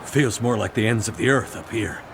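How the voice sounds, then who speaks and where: A man's voice: on the low side, moderate pace, background noise, lightly acted. A man playing a wary, plain-spoken traveler in a video game cutscene, with an understated delivery. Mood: wry unease. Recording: faint music behind the voice.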